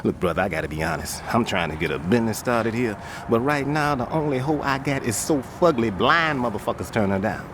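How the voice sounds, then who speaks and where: A man's voice: mid-range voice, lively intonation, fairly quick, lightly acted. An actor playing a slick, foul-mouthed, wheedling male pimp in a video game. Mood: sleazy. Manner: slick, hustling. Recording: noisy outdoor street ambience.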